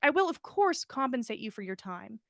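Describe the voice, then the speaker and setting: A woman voicing a polite, formal letter-writer in a story read aloud, courteous and measured. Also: a little high, sing-song, a bit bright, no echo, studio quality.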